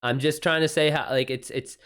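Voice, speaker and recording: Male livestream host and writer, medium pitch, informal unscripted chat, thinks out loud, bro-ish slang. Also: steady tone, fairly quick. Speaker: livestream host and author giving writing advice.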